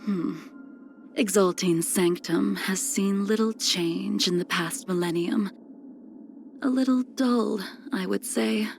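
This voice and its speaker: An ageless, melancholy swordswoman from a video game. She speaks slowly and formally in a mid-pitched voice with a creaky edge. Her phrases are cold and solemn, often sinking into a breathy near-whisper. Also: soft background music. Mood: detached. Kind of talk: scripted video game character lines.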